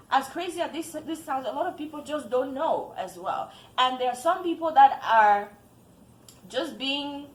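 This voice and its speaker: A woman from Cameroon giving earnest advice to camera in a vlog, often repeating phrases for emphasis. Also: sits high. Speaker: vlogger. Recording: echoey room with faint ambience.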